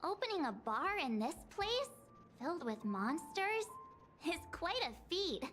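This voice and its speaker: A woman's voice: extremely high pitch, big pitch swings, a little slow, fairly bright tone, exaggerated voice. An actress voicing a curious, bubbly young lady traveller in an anime-style game. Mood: inquisitive. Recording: game music underneath.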